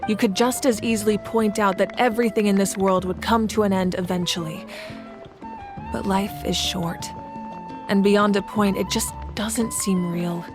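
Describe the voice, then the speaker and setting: A woman's voice: mid-range voice, fairly even intonation, lightly acted. Composed, resolute female war leader, voiced by a woman, music behind. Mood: reflective, calm. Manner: composed, measured, earnest, formal. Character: noble, resolute female war leader of a nation, calm and dutiful. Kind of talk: scripted video game dialogue.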